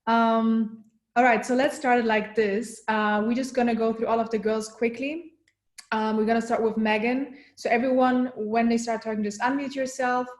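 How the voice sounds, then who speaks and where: A woman hosting a video call, moving quickly through introductions with friendly, brief affirmations. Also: on the high side, little pitch movement, roomy sound. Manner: friendly, brisk, short affirmations. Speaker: event host.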